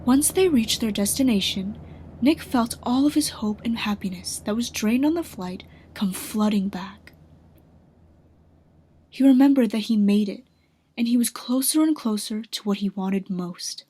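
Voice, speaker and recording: A woman narrating a radio drama from a script in a husky, breathy voice. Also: a little high, measured pace, dark tone, no room sound.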